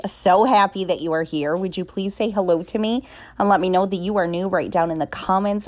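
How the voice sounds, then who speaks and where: A woman's voice: mid-range voice, darkish tone. Congested, nasal woman vlogging. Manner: bubbly and talkative, many fillers. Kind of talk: casual chatty vlog. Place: home kitchen.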